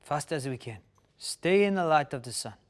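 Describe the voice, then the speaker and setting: A man playing a small, excitable, superstitious creature in a fantasy table read, speaking broken pidgin mixed with invented words. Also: on the high side, normal speed, close to an everyday voice. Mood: urgent.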